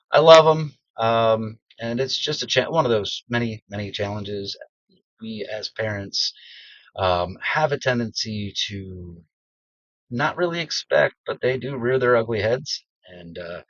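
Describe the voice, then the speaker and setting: A man's voice: fairly low pitch, a bit bright. A middle-aged man talks casually and self-deprecatingly, stopping on plenty of ums, his voice still stuffy from a throat infection. He records in a small cedar closet with no echo at all. The sound is slightly muffled and lo-fi. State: congested, recovering from strep throat. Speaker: beginner voice actor. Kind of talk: casual personal vlog.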